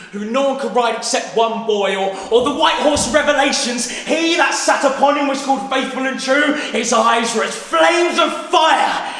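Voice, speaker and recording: A teenage male student actor with a British accent playing a troubled, intense boy obsessed with horses in a dramatic stage monologue, halting and swinging between hushed and explosive. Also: sits high. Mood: fervent. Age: teens, about 16. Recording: very echoey hall, distant mic, faint noise.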